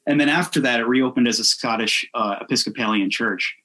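A man chatting casually as a podcast guest, telling things informally in a halting way with frequent self-interruption. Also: mid-range voice, sharp tone. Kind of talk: casual chat, informal storytelling.

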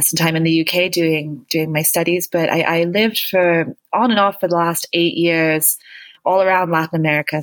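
A woman in her thirties from Canada, speaking as a guest researcher with a fairly low voice. She talks earnestly and thoughtfully, often restarting a phrase as she goes. The sound is dry and close. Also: fairly bright tone, no echo. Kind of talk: conversational interview.

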